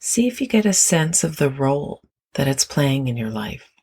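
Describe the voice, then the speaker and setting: A meditation guide giving gentle, unhurried instructions with long pauses between phrases. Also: mid-range voice, very animated, breathy edge.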